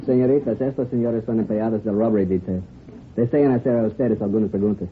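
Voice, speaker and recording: Cop translator, husky and quick, matter of fact, tinny old radio drama sound. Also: male voice, lowish voice. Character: obliging bilingual police interpreter questioning and translating. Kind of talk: 1950s radio drama acting.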